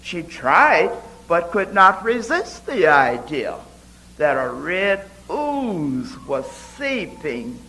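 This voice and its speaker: A man giving a dramatic poetry reading, deliberate and expressive, with emphatic pauses. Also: fairly high pitch, rough recording.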